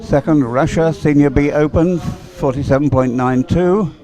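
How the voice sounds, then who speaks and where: Male live sports commentator, soft-edged relaxed tone, unscripted, pausing and meandering as he thinks aloud. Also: a little high.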